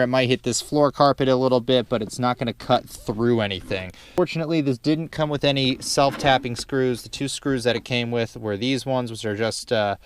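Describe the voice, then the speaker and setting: A man's voice: medium pitch, smooth, no room sound. A man giving a casual, unscripted DIY how-to narration in a rambling, wry, casually profane way inside a car. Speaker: DIY car project host.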